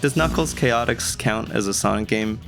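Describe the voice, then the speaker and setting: A young man with a fairly low voice narrates a video essay. He is conversational and casually enthusiastic, dropping in casual asides. Guitar music plays softly behind him. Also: normal speed, gravelly.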